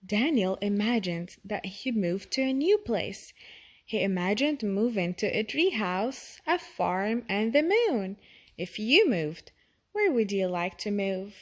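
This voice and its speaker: A woman reading a children's storybook aloud, expressive and addressing the young listener directly. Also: mid-range voice, takes it slowly. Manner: expressive, asks the listener questions.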